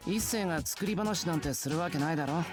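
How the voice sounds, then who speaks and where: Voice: medium pitch, very fast, close to an everyday voice. A voice actor playing an easygoing, loyal teenage boy in a Japanese visual novel, talking in a casual, offhand way over game music. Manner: casual, offhand boyish speech.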